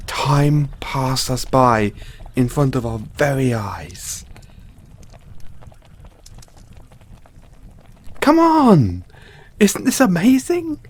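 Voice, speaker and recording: A man playing a giddy, affectionate mad scientist boyfriend in an audio roleplay, excitable and theatrical, coaxing the listener along. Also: a little high, breathy edge, heavily acted. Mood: excited, coaxing.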